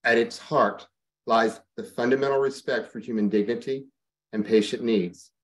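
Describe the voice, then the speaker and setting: A man's voice: mid-range voice, steady tone, normal speed. Serious man giving a campaign speech. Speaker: board candidate.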